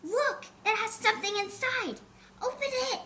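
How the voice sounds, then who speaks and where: Woman as feisty high-voiced girl adventurer. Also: normal speed, slight background noise, heavily acted. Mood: excited. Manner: excitable, snappy. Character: feisty, stubborn twelve-year-old girl adventurer.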